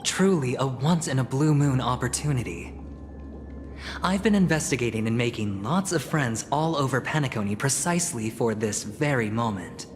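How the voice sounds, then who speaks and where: A man's voice: medium pitch, lively intonation, moderate pace, close to an everyday voice. Male actor for scheming young negotiator in a story-driven game. Suave, showy, persuasive delivery over prominent music. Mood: persuasive, calculating. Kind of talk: video game story dialogue.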